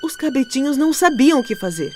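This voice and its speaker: A woman narrates a children's tale in a high, expressive voice. Her delivery is dramatic and lively, rising and falling widely, with music clearly behind her. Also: quick pace. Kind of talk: scripted storybook narration.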